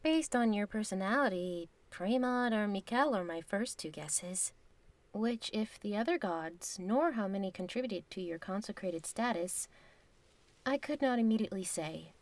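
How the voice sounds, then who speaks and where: A courteous, knowing woman of divine nature voiced by an actress speaks at a middle pitch. Her phrasing is formal, measured and frank, recorded close and dry for an audio drama. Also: lively intonation, no room sound, close to an everyday voice. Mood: matter-of-fact.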